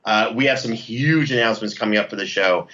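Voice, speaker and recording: A man's voice: lowish voice, fairly quick, pushed and bright. A man chatting casually as an interview guest, playful and joking as he talks things through, on a dull recording. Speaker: podcast producer and interview guest.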